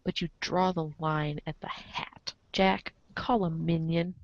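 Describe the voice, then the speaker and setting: A woman's voice: deep voice, steady tone, takes it slowly, lo-fi sound. A woman reading scripted narration aloud in a theatrical, wry way, with casual asides.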